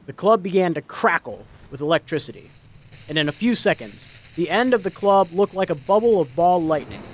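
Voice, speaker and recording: A man's voice: sits high, animated, brisk, slight background noise. A man reading a scripted story as a dramatic narrator. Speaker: narrator, reader. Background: story sound effects.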